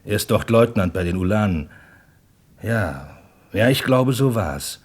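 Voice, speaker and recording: Male, radio crime play: unsure army captain, lowish husky voice, halting answers. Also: animated. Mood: hesitant, unsure. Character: hesitant, uncertain army captain being questioned. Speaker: actor in a radio crime drama. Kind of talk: German radio play dialogue acting.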